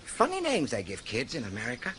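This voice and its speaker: Breezy young toff: bright, swooping and evasive. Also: male voice, medium pitch, wildly swooping pitch, fairly quick, a little hiss or hum. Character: breezy, dim-witted young aristocrat son.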